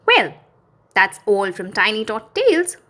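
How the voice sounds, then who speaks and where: A female host reads a children's story aloud. Her delivery is slow and very expressive, with animated pitch, as she speaks straight to young listeners. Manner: expressive, addressing small children directly.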